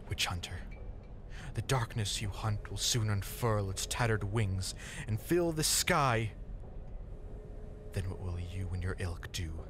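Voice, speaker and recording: A man's voice: fairly low pitch, slight background noise, voice pushed up, close to an everyday voice. A man voicing a fervent, tearful female rogue priestess preaching doom in an impassioned, pleading and sneering manner for an audio drama. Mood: bitter, menacing.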